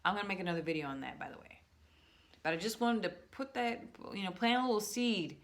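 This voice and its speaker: A woman talking to her viewers in a casual, rambling monologue, slowing down to stress words and restarting her sentences often. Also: medium pitch. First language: English. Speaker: vlogger.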